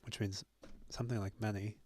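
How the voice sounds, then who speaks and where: A young man thinking aloud as he works through a language on his own, musing and joking at his own expense, halting often with fillers. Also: lowish voice, flat delivery, warm tone, slight background noise. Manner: musing, self-deprecating, halting with many fillers. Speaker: language-learning video host. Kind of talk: thinking aloud while self-studying.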